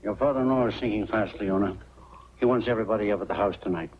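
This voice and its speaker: A man's voice: lowish voice, fast talker, natural voice. An actor playing a breezy, irreverent small-town errand man, with folksy, wry delivery in a 1940s film style. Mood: cheerfully irreverent. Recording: noisy, dull 1940s film soundtrack.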